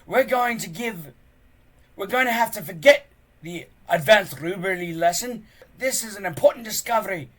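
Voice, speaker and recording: Someone reading a storybook aloud, voicing a loud, bossy Viking teacher who bellows drill orders. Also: medium pitch, normal speed, pitched above the performer's own voice, lightly acted, rough recording. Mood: excited, commanding. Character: Gobber, loud bossy Viking pirate-training teacher, grown man.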